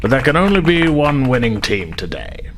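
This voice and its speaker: A man voicing a gracious, formal admiral making a measured ceremonial speech in a tabletop game. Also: medium pitch, very animated, noisy background, voice pushed up.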